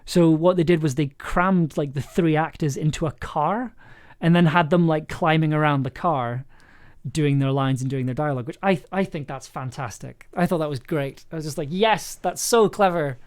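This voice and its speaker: A man with a slightly high voice talks casually to a livestream while he works on audio. He rambles in an enthusiastic way, often repeating a word or restarting before he gets to the end of a thought. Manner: halting, rambling, with repeated words and trailing thoughts. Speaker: audio drama maker livestreaming an editing session.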